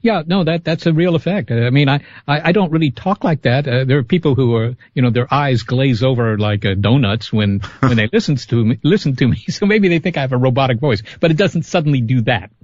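A man's voice: mid-range voice, brisk, hardly any pauses, a little mellow, no echo, lo-fi sound. A man, an astronomer guest on a radio talk show, chatting casually with frequent laughs and restarts.

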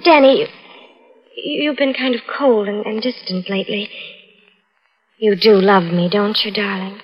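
A woman's voice: medium pitch, a little slow, close to an everyday voice. An actress playing a scheming young fiancée who sweet-talks her lover before coldly blackmailing him, in a 1940s radio drama. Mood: coaxing. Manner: sweetly coaxing turning cold and cutting. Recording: dull old radio broadcast.

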